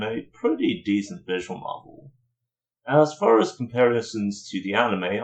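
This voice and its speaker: A man's voice: on the low side, poor audio. A man giving a casual, opinionated spoken review as a video host, in informal language with some mild swearing.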